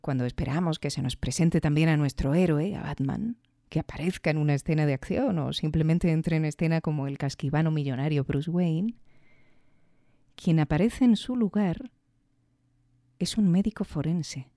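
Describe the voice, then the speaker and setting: A woman's voice: low-pitched, moderate pace, dark tone, no room sound, clean studio sound. A woman hosting a podcast, giving reflective commentary with rhetorical questions and emphatic repetition. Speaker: podcast host. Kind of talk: reflective commentary on audio fiction.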